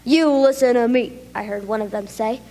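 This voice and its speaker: A woman's voice: on the high side, normal speed, in a large hall, heavy background noise, pitched below the performer's own voice, natural voice. A woman reading the part of a flustered schoolboy who stammers out a long, breathless excuse. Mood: anxious.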